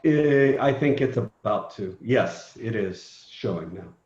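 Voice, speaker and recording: A man's voice: lowish voice, lively intonation, takes it slowly, darkish tone. A man joining a meeting, making short practical remarks about the shared screen. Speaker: meeting participant. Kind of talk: casual chat. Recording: roomy, muffled recording.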